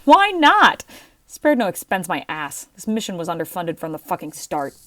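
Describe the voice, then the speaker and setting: A woman's voice: fairly low pitch, sing-song, normal speed, a bit bright, no echo. A woman playing a bitter, self-blaming navy veteran submarine captain in an amateur audio drama. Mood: bitter, angry.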